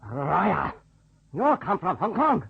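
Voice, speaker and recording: Curt interrogator, raised pitch, muffled radio. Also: male voice, big pitch swings, slow pace, gravelly, breathy edge, exaggerated voice. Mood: accusing. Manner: curt broken English, accusing. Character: harsh, suspicious Chinese border interrogator. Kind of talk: old radio drama acting. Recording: muffled old radio, loud noise.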